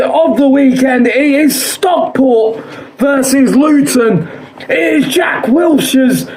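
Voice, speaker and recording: A man with a hoarse, fading voice hosts his own show, predicting football scores in a chatty, repetitive way and filling pauses with "uh". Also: extremely high pitch, darkish tone, slight background noise, low-quality recording. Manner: chatty, quotes odds, repeats himself, frequent "uh".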